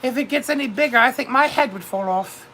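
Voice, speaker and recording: A woman's voice: on the high side, a little fast, a bit bright, a little hiss or hum. A woman voicing a vain widowed duchess in her fifties with a British accent. She chats theatrically with her viewers and keeps calling everyone darling. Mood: grand. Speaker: livestream host. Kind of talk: in-character livestream chat.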